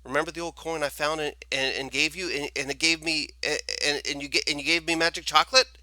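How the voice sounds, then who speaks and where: Man voicing a frantic, high-pitched stammering young boy. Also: steady tone, fast, pushed and bright, no echo. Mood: panicked. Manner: stammering, babbling, repeating words. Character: frantic, remorseful young boy pleading for help. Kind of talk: storybook character voice.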